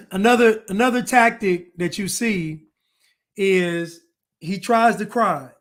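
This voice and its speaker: A man's voice: sits high, takes it slowly, a bit bright. A man talking to his viewers, breaking down a clip and asking pointed rhetorical questions again and again. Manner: emphatic, repeats rhetorical questions. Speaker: commentator. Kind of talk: true crime commentary.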